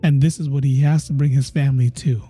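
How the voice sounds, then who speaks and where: A man's voice: mid-range voice, steady tone, fast talker, warm tone, breathy edge, soft background music. A man hosting his own podcast, chatting casually and repeating phrases for emphasis. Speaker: podcast host.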